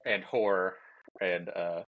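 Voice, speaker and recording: Low voice guy hosting a podcast, kinda hesitant, jokey. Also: steady tone, slow pace, narrow, tinny audio. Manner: chatty, hesitant, joking. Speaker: podcast host. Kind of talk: casual podcast interview.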